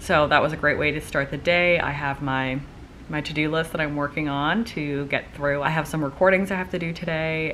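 A young woman with a deep, slightly stuffy voice chats casually to the camera in an upbeat, rambling way. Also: a bit bright, a little hiss or hum. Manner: chatty, upbeat, rambling with fillers. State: stuffy nose. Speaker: vlogger and entrepreneur. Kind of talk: casual vlog chat to camera. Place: living room by a window.

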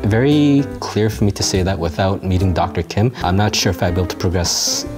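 A man in his thirties from Canada, answering interview questions in a measured, articulate way with a lapel mic in a studio. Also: low voice. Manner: articulate, measured, with occasional fillers. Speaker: graduate alumnus interviewee. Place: dim studio set with bookshelves. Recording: music bed under voice. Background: soft background music.

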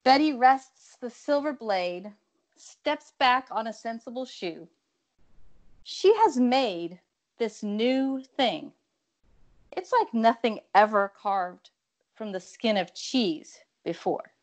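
A woman's voice: a little high, expressive, slow pace. A middle-aged woman poet introducing and reading her own work over a video call. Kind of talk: casual introduction and theatrical poetry reading. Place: indoor room with bookshelves.

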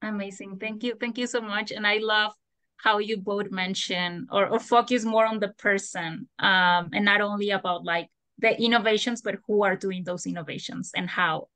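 A young woman moderating a webinar on a video call, speaking in a quiet, measured way. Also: mid-range voice, steady tone, dry recording. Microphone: video call webcam.

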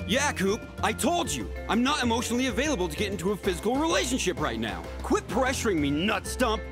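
A man's voice: sits high, sing-song, fast talker, over music. A man voicing a smug, taunting teenage boy bully in a comedy cartoon game. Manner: cocky, taunting. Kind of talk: animated comedy game acting.

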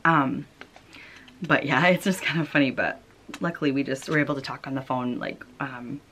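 American woman, low-pitched, chatty. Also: steady tone, normal speed, pushed and bright, a little hiss or hum. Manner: chatty, informal, giggly. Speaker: knitting podcast host. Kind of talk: casual vlog chat. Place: small wood-panelled room at home.

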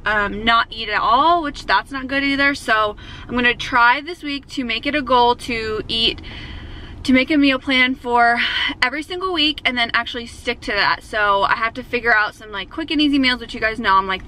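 Bright-voiced young woman vlogging. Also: fairly high pitch, moderate pace, slight background noise, rough recording. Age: young adult. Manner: chatty, many fillers, upbeat. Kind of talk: casual chatty vlog. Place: parked car, then home kitchen. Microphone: handheld camera at arm's length.

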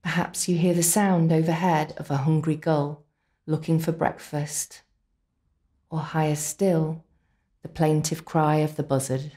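Female guide for relaxation narration: low register, very slow, soothing delivery with measured pauses. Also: level tone, dark tone. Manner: unhurried, soothing, deliberate pauses. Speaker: complementary therapist leading guided relaxation. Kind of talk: guided visualisation, scripted narration.

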